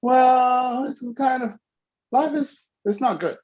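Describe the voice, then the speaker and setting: A man coaching a participant on a video call, animated and teasing as he prods them by name. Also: very high-pitched, takes it slowly, muffled recording. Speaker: business coach.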